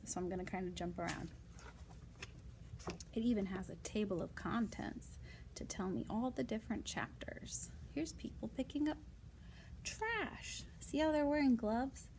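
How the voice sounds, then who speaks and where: Woman, mid-pitched voice, children's read-aloud, casual asides, simple child-directed explanations, some background noise. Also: a little mellow.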